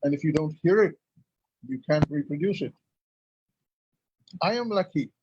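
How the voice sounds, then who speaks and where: A man's voice: a little high, expressive, moderate pace, background noise. A man from India whose first language is Urdu, telling stories as a guest in a conversational interview. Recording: lo-fi, dull sound.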